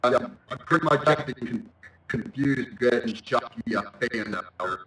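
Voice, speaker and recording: A man's voice: mid-range voice, lively intonation, unhurried, a bit bright. A man chatting casually and a bit hesitantly as a pilot over game radio. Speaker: amateur pilot in online flight simulator. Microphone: online voice chat. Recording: roomy, distant voice-chat mic.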